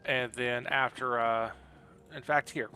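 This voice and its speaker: A man's voice: medium pitch, steady tone, slow pace, bright, forceful tone, soft background music. A man giving casual live game commentary, explaining things in a rambling, easygoing way. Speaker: game streamer.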